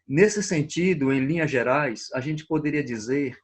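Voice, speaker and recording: Pastor guy, middle pitch, careful explainer, keeps rewording stuff. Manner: measured, explanatory, restates terms. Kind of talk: panel discussion on faith.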